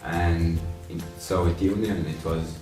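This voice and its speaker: Very deep-voiced man. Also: fairly even intonation, darkish tone. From Latvia. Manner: halting, simple phrasing. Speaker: interviewee. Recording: echoey room, distant mic, faint music.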